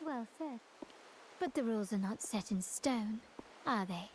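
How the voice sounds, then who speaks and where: A woman's voice: fairly high pitch, lively intonation, unhurried, background noise. A woman playing a poised, cunning young noblewoman in a video game, polite, composed and formal. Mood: sly, composed. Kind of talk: scripted video game dramatic acting.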